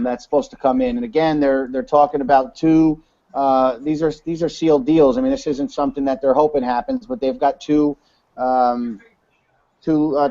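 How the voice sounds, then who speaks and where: A man's voice: on the high side, mellow. A man giving a casual, rambling webinar talk, full of fillers and restarts. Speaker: webinar presenter. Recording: dull lo-fi online call audio.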